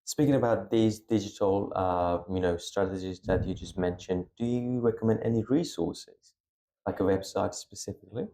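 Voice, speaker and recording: A man's voice: on the low side, moderate pace, warm tone. A man hosting a school podcast, reading a formal introduction and then asking interview questions. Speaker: podcast host. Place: school room. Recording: echoey room.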